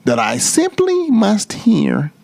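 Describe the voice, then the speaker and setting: A sly, grumpy storybook monster voiced by a man, gravelly and smug. He draws out his words with mock sweetness and swings his pitch as he schemes. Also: wildly swooping pitch, slow pace, darkish tone, no echo, heavily acted.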